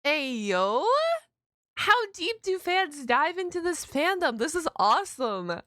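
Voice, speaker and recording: A woman hosting a reaction video, commenting casually in an excitable, rambling, slangy way. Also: high voice, slow, dry recording.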